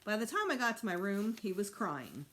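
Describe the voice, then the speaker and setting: A woman reading a children's novel aloud as a teacher would to students, in expressive narration. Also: mid-range voice, moderate pace.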